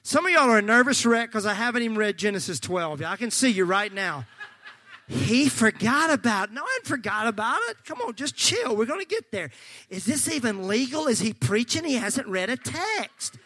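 A male pastor preaching a sermon, fervent and folksy, joking with the congregation and breaking into shouts. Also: very high-pitched, sing-song, moderate pace, no echo. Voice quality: slightly gravelly.

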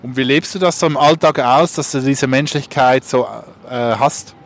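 A man with a medium-pitched voice talks as a podcast host. His delivery is informal and conversational, with slightly hesitant phrasing, as in a casual street interview. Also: fairly even intonation, normal speed.

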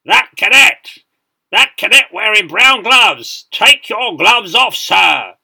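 A man voicing a stern, booming British army sergeant major in a dramatised story, bellowing parade-ground commands. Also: lively intonation, slow pace, gravelly, sharp tone, husky, full character voice. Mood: barking commands.

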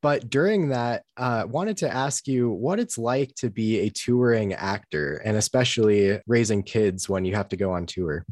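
Low-voiced man interviewing, very dry sound. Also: expressive, normal speed, hardly any pauses, darkish tone, dry recording. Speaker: podcast host, interviewer. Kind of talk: casual conversational interviewing.

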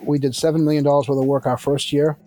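A man in his sixties, a company founder, answering interview questions in a measured, thoughtful way with frequent fillers and restarts. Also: a little high, flat delivery, mellow, soft background music. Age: 60s.

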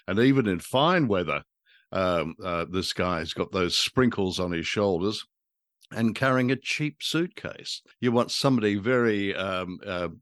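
A man with a lowish voice, recorded close and dry. He talks as a humorous commentator, wry and wordy, often pausing to find words. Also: no room sound. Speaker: podcast commentator. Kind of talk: humorous discussion of a radio drama.

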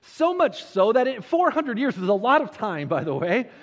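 A man preaching a sermon, telling a Bible story conversationally with dramatic pauses and rhetorical questions. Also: very high voice, very animated. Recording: muffled audio with long hall reverb.

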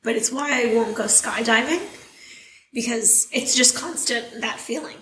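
A woman co-hosting a podcast, losing her voice, telling stories in a chatty, animated way. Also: on the high side, unhurried, slight background noise. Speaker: podcast co-host. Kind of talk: casual chat. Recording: echoey room.

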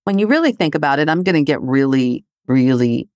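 Solo podcast host raised in Greece, reflective and needling, middle pitch, dry close sound. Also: lively intonation, a little fast, a little mellow, no room sound. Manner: provocative, poses rhetorical questions; conversational with frequent "you know, right?".

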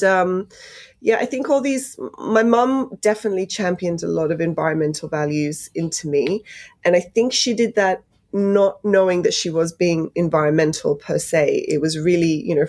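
A young woman talks as a podcast guest over a video call. Her voice sits a bit low, and she pauses to gather her thoughts, often restarting a phrase. Her manner is warm and reflective. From Australia. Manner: thoughtful, many fillers and restarts, laughs lightly. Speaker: guest, climate correspondent. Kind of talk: casual interview.

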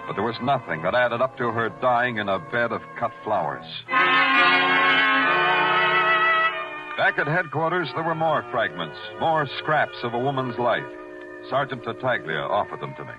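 A man's voice: medium pitch, sing-song, moderate pace, music in the background, close to an everyday voice. A man acting a hardboiled, world-weary police detective in 1940s radio noir style, dry, terse and moody. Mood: brooding. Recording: muffled vintage radio recording.

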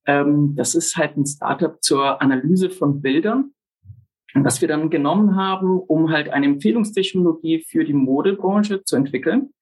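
A man in his forties who grew up in Brazil, talking casually as a startup founder and podcast guest, relaxed and chatty with plenty of fillers and dry self-mocking humor. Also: fairly high pitch, husky, rough recording. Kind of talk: casual interview talk.

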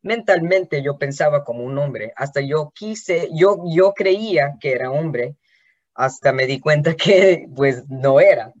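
Slow, hesitant man on a podcast. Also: on the high side, expressive, dull audio. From Los Angeles, California. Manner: slow, hesitant, with many restarts. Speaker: podcast host. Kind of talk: personal monologue.

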